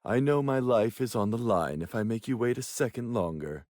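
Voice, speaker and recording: An actor playing a sleazy, seductive fallen angel villain in a video game, teasing and theatrical. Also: male voice, mid-range voice, expressive, moderate pace, noisy background, lightly acted. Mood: coy, amused. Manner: drawling, teasing, mock-sweet. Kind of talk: dramatic video game voice acting.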